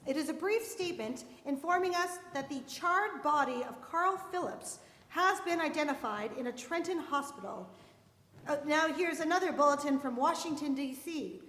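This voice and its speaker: A woman playing a radio news announcer who reads bulletins formally and a little haltingly in a live drama. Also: sits high, expressive, fairly bright tone. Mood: formal, urgent. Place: live performance in a church. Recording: echoey church hall live performance.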